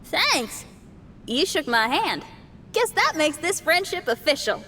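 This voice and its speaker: A gentle young adventurer. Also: female voice, high-pitched, big pitch swings, takes it slowly, slight background noise, exaggerated voice. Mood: earnest. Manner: gentle, earnest and polite. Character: young female traveler, calm and helpful adventurer. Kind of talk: video game voice acting.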